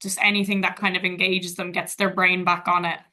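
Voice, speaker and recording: A woman's voice: fairly low pitch, flat delivery, brisk, pushed and bright. A young Irish woman, an English teacher, answering webinar questions in a casual, thoughtful and friendly way. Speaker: guest, English teacher in Vietnam.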